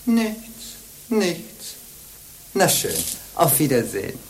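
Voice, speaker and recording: A woman's voice: fairly low pitch, big pitch swings, slow, slight background noise. An actress in a German radio play playing a sly old witch go-between, coaxing and chuckling in a theatrical way. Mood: dry, satisfied. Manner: wheedling, chuckling, theatrical swings.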